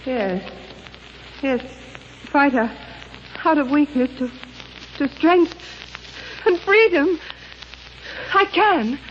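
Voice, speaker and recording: Frail earnest wife in an old 1930s radio play, slow halting dramatic delivery, scratchy sound. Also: female voice, high voice, muffled recording. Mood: fervent, resolute. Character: frail bedridden wife finding fragile, fervent resolve.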